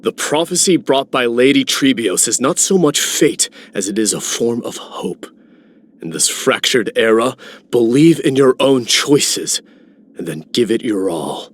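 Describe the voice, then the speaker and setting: Game warrior played by a man: proud, fiery, grand declamation with wide swings of pitch. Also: expressive, measured pace, slight background noise, natural voice. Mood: solemn conviction. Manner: declamatory, stately. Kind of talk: dramatic game voice acting.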